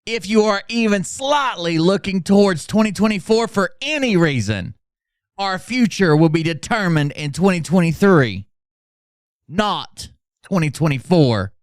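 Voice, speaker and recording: A man playing a melodramatic, self-important political doomsayer, reading posts in a grandiose, over-dramatic way with emphatic pauses. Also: high voice, big pitch swings, clean tone, dry recording, studio quality. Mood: solemn, grandiose.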